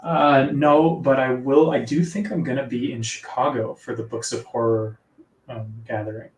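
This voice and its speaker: A man with a soft, sleepy-sounding voice, chatting casually as an author guest in a live interview. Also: mid-range voice, steady tone, normal speed, a little hiss or hum. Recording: echoey room, distant from mic.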